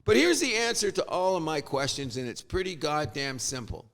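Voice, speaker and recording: Middle-aged canadian guy ranting about sports, kinda high gravelly voice, gets worked up. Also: normal speed. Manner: animated, exasperated, swears casually. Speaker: sports podcast host. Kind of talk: opinionated sports rant.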